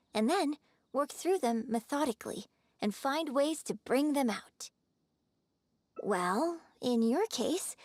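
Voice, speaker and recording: An actress playing a gracious opera performer in a video game, coaching patiently with polished, gentle, encouraging delivery. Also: female voice, high-pitched, no room sound, studio quality, heavily acted. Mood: instructive, encouraging. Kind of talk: scripted video game voice acting.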